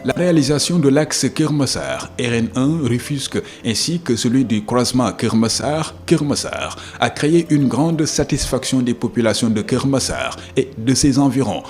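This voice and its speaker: A man's voice: medium pitch, moderate pace, few pauses, darkish tone, faint music behind. A man reading a scripted documentary narration.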